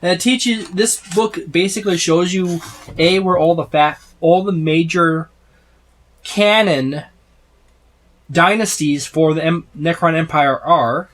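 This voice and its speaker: A man in his thirties walks through a tabletop rulebook in a casual, rambling way, full of fillers and false starts. His voice sits a little high for a man. He talks close to the microphone in a room packed with game shelves. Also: slow, fairly bright tone. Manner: rambling, many fillers and restarts. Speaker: tabletop gaming video host. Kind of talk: casual book overview. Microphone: special microphone, close.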